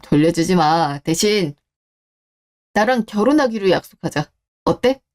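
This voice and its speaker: A woman playing a devoted, plain-spoken young boyfriend in a radio drama, with a gentle, matter-of-fact manner. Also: fairly low pitch, expressive, a little slow. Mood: earnest, teasing. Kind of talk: Korean radio drama dialogue.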